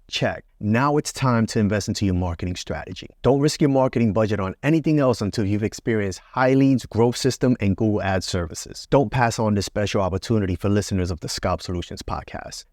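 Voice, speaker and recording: A man's voice: on the low side, a little mellow, no room sound, studio quality. A man reading a scripted ad in a polished, salesy announcer delivery. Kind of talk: scripted radio-style ad read.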